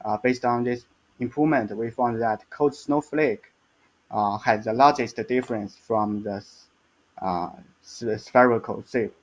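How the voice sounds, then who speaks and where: A man giving a technical slide presentation as a research scientist, halting and hesitant, with frequent stumbles and repeats. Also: medium pitch, steady tone, dull audio.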